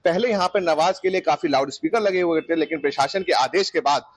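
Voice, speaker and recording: A man's voice: fairly high pitch, a little fast, no echo. A man reporting to camera from location for TV news. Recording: faint outdoor ambient noise.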